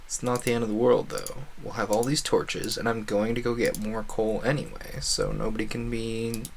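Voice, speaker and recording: A man's voice: on the low side, flat delivery, normal speed, creaky, slight background noise. A man doing casual video game stream commentary, rambling playfully and thinking aloud with a self-deprecating streak.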